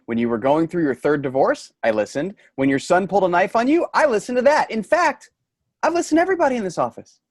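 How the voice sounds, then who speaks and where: A man's voice: high-pitched, animated, quick pace, no echo, studio quality. A man in a staged comedy reading playing a weary, sardonic office worker, reluctant and exasperated.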